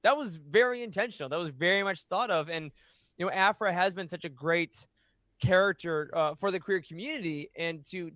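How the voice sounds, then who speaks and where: A man in his thirties with an American accent and a high-pitched voice. He gushes in casual, enthusiastic chat, with a dry, close podcast sound. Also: sharp tone, no room sound. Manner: enthusiastic, gushing. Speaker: podcast panelist. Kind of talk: casual roundtable discussion. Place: home room. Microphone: close boom-arm podcast mic.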